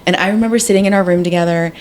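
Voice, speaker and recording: A woman's voice: lowish voice, steady tone, rapid-fire, darkish tone, slight background noise. A woman co-hosting a podcast in casual chat, rambling and often interrupting herself. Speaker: podcast co-host. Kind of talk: casual chat about books.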